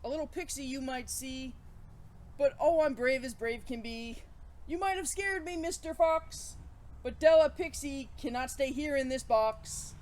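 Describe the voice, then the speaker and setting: A woman's voice: sits high, a little slow, a little mellow. A woman voicing a small young storybook pixie girl, timid but determined to be brave, in a childlike, exclamatory manner. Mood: brave, singing.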